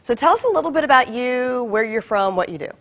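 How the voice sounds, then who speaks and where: A woman's voice: fairly high pitch, expressive, fast talker. A woman hosting a live studio interview show, welcoming guests and prompting viewers to comment. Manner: upbeat, inviting viewer comments. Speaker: show host.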